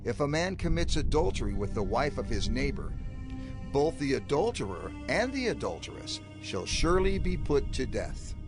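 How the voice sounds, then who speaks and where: A man narrates scripture in a solemn, emphatic dramatized style. His mid-range voice moves very widely in pitch as he declaims, and music plays clearly behind him. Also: very animated, moderate pace. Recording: music under the voice.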